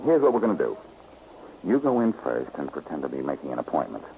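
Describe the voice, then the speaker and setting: A man's voice: very deep voice, big pitch swings, fast talker, gravelly, mellow, exaggerated voice. An American actor playing a confident, wry male amateur detective in a 1940s radio drama. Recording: dull, hissy old radio recording.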